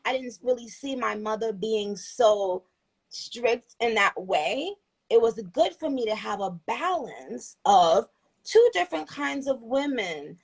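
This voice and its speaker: A woman's voice: on the high side, gravelly, breathy edge, low-quality recording. A woman in her thirties from Trinidad, chatting earnestly and gratefully on a phone livestream, rambling with restarts. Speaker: livestream host. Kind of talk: casual chat on a livestream.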